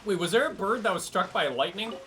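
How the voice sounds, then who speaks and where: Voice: medium pitch, lively intonation, fast, bright, forceful tone, a little hiss or hum. A game streamer giving casual, offhand, sweary commentary on a playthrough, muttering reactions along the way. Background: video game music.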